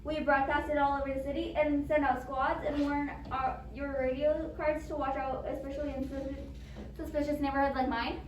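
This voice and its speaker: An anxious, self-absorbed invalid wife in a suspense play, acted by a woman, speaks in a high voice. She is fretful and insistent, stumbling over her words. The recording is roomy and echoing, with some noise behind her. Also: little pitch movement, brisk, a little mellow, natural voice. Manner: agitated, stammering, insistent. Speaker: amateur drama club actor. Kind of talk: stage adaptation of a radio suspense play. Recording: roomy echo, distant mic, background noise.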